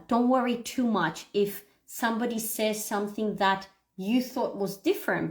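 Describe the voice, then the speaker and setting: A woman hosting a live English pronunciation lesson, explaining things to viewers in an instructive, expressive way with emphasis and frequent checks for understanding. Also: on the high side. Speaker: English pronunciation teacher, livestream host. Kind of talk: live lesson talking to viewers, demonstrating read-aloud.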